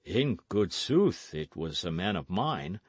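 A man voicing a reserved male nobleman who answers in a measured, hesitant way, close to his own voice. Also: low voice, very animated, no room sound, exaggerated voice. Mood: guarded.

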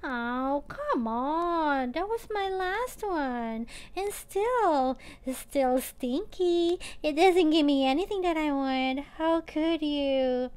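A woman's voice: high voice, animated, takes it slowly, few pauses, a little mellow. A woman casually commenting on her own gameplay, chatty and playfully whiny, thinking aloud with many filler sounds. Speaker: gaming channel host. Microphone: tablet or phone mic.

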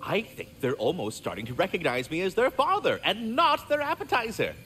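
A man's voice: high voice, sing-song, brisk, bright, forceful tone, slight background noise, heavily acted. A man voicing a polite magical squirrel in a video game, with formal, florid, theatrical diction. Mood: fond, wry.

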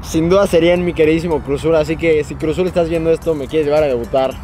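A young Mexican man in his late teens chatting casually with a friend at an outdoor table, quick and laughing easily. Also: on the high side, fairly even intonation, mellow, faint music behind. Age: young adult. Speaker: YouTuber and goalkeeper. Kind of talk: casual chat. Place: outdoor terrace by a lawn.

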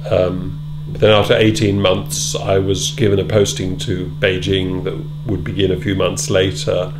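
A middle-aged man being interviewed in a wood-panelled room, answering in a measured, thoughtful way with many ums and repeated words. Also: low voice, level tone, over music, poor audio. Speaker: interviewee, former British Council officer. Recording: loud hum behind.

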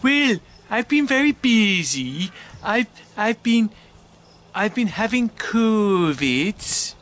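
A man's voice: very animated, slow, a bit bright, exaggerated voice. A man doing a camp Swedish supermodel lady. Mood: flirty. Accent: wobbly fake Swedish drifting Irish. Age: middle-aged. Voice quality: high falsetto, camp, breathy ooh-ing. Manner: flirty, rambling, self-mocking. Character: camp woman supermodel from Sweden, flirty and daft. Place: dark barn.

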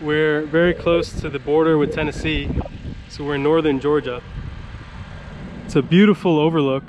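A higher-pitched man chats eagerly to a handheld action camera outside, walking viewers through each detail of his car. There's a faint hum of outdoor noise behind him, and his delivery is casual and upbeat. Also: fairly even intonation, moderate pace. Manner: enthusiastic, chatty, shows off car details. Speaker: car vlogger. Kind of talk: casual vlog narration. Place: outdoors at a shop lot and a mountain overlook. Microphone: action camera held or mounted.

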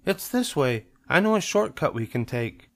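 A young girl bear cub from a storybook, acted out by a male narrator, pitched well up high. The cub is friendly and casual, a touch hesitant, with lively swings in tone. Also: normal speed, vocal fry, full character voice. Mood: casual, agreeable.

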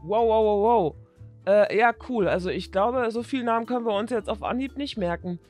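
A woman's voice: fairly high pitch, a little fast, darkish tone, soft background music, voice pushed down, close to an everyday voice. A woman voicing a friendly, awkward teenage basketball boy in improvised doll-play storytelling, with hesitant, casual slang.

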